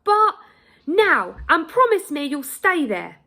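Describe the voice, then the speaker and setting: A woman voicing a proud queen who is being dragged away, shouting indignantly in a high, loud voice. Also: big pitch swings, takes it slowly, exaggerated voice. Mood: urgent pleading.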